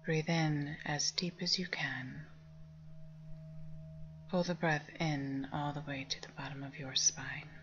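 A woman leading a guided meditation with soft, breathy, near-whispered, calming instructions. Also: low voice, slow pace, pauses often, noisy background. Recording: dull lo-fi sound under music. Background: meditation music with singing bowls.

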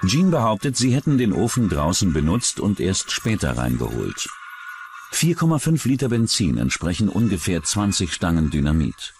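Very deep-voiced male documentary narrator. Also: huge pitch swings, normal speed, a little mellow, faint music behind. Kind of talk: scripted true-crime narration.

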